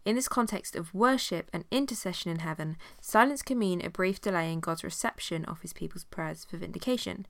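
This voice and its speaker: A woman's voice: fairly low pitch, a little fast, gravelly, few pauses, no room sound. A woman teaching an informal Bible study. She has a cold and sounds nasal, with many fillers as she reads passages aloud.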